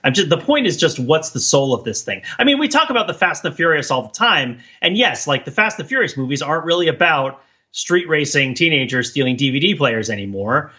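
Bright-voiced rambling man, slightly muffled recording. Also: a little high, big pitch swings, brisk. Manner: rambling, digressive. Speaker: podcast co-host. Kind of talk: casual pop-culture discussion.

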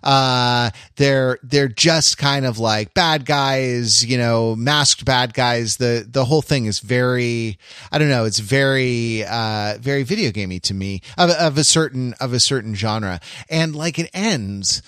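A man's voice: medium pitch, fairly bright tone, clear, resonant tone, no room sound. Male podcast co-host, casual film chat, searching and repetitive delivery, very dry close sound. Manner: halting, searching, repeats phrases as he thinks aloud.

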